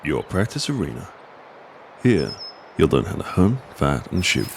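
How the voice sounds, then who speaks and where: Deep, gravelly old mountain mentor. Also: male voice, sing-song, slight background noise, heavily acted. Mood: gruff, dry. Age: older. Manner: blunt, unhurried, folksy, dryly teasing. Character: gruff older mountain man, tough combat mentor. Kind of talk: audio drama acting.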